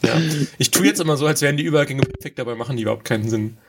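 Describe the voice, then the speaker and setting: A man's voice: mid-range voice, racing, noisy background. A man co-hosting a podcast in chatty casual talk, joking about himself, with many fillers. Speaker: podcast co-host. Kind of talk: casual chat.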